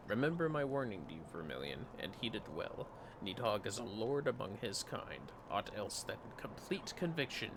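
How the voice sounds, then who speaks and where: A man voicing a stern veteran warrior mentor in a fantasy game, speaking gravely in formal, archaic phrasing. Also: fairly low pitch, noisy background. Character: stern, solemn veteran dragoon mentor, male.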